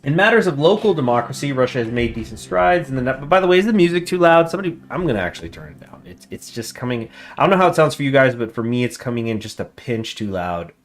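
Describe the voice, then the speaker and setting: A man casually commenting on his strategy game as he plays, thinking out loud and reading the in-game text aloud. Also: mid-range voice, very animated, fast, hardly any pauses. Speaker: gameplay commentator. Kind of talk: casual let's-play commentary. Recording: faint music underneath. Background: faint game music.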